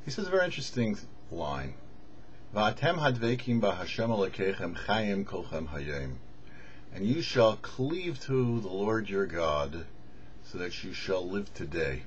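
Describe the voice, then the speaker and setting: A man, a rabbi, giving scripted religious teaching with deliberate, emphatic phrasing and pauses. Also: sits low, very animated, lo-fi sound, a little hiss or hum. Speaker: rabbi, host of a weekly Torah show.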